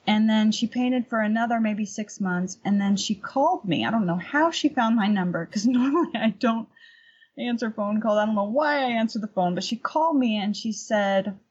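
High-voiced, slightly husky middle-aged woman, chatty. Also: normal speed, darkish tone, lo-fi sound. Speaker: podcast guest, painter and workshop teacher. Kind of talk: casual conversational interview, anecdotal.

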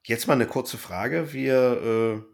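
A man's voice: mid-range voice, slow, vocal fry. A man co-hosting a podcast, recapping and discussing an audio drama in casual conversation. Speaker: podcast co-host.

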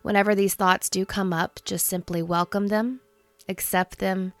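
A woman in her thirties leading a guided meditation in a soothing, gentle, unhurried manner. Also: mid-range voice, fairly even intonation, dry recording, soft background music. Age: 30s.

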